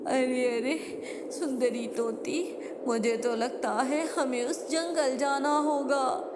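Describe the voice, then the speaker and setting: A woman's voice: sits high, steady tone, takes it slowly, heavy background noise. A woman voicing an anxious, exclamatory jungle bird who fears for the little ones in a cartoon story. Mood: fearful. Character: worried female jungle bird, fearful for the children. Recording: long reverb, music behind. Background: music.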